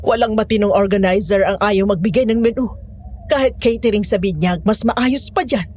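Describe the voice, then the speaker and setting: A woman acting a brisk, practical, outspoken cook in a Tagalog horror audio drama. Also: mid-range voice, darkish tone, a little hiss or hum, natural voice. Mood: skeptical.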